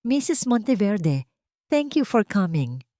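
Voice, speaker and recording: A woman voicing a serious, blunt lawyer in his forties, calm and formal, in a narrated drama story. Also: medium pitch, slow, no room sound, studio quality, voice pushed up. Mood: solemn.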